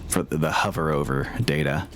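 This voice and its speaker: A man acting as game master, casually narrating a tabletop game and thinking aloud in a halting way. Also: a bass voice, fairly even intonation. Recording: music behind the voice. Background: background music.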